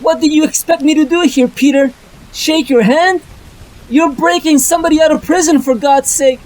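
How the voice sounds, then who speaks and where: A performer voicing a sharp-tongued, protective young woman in a fan dub of a motion comic. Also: high voice, fairly even intonation, moderate pace, voice pushed up, natural voice, rough recording. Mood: scornful. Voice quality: sharp, pleading.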